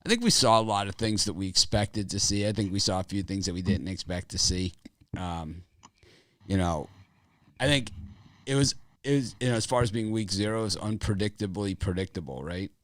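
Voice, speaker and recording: A man with a raspy voice hosting a sports betting show, talking casually in a rambling, opinionated way with lots of fillers. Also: on the low side, fairly quick, fairly bright tone, no echo, clean studio sound.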